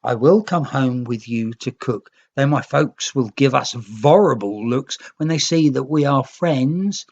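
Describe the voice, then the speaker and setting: A woman's voice: low voice, a little mellow, dull audio, voice pushed up, exaggerated voice. A woman voicing an earnest, plucky young red nix creature, hungry and plaintive, in a children's storybook.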